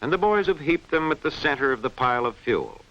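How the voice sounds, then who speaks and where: A man reads scripted instructional film narration in a clearly enunciated, didactic way. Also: on the high side, lively intonation, brisk. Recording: dull, band-limited vintage film soundtrack.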